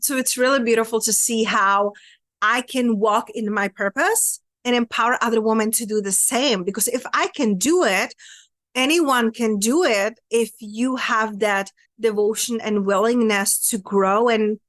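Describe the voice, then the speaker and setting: A woman's voice: a little high, measured pace, a bit bright, dry recording. A woman in her thirties with a Czech accent, sharing her personal story earnestly and reflectively as an interview guest. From Czech Republic. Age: 30s. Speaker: podcast guest, women's empowerment coach.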